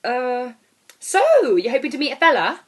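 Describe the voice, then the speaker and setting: A woman's voice: sits high, huge pitch swings, breathy edge, pitched above the performer's own voice, exaggerated voice. Woman reading as an awkward, flustered single woman. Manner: hesitant, halting, self-conscious.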